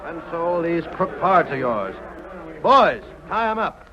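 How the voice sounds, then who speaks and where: A muffled old radio recording with a crowd behind carries a folksy Western lawman. He is firm and gruff in manner, with a slightly high voice, in 1930s radio style. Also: big pitch swings, takes it slowly. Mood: stern.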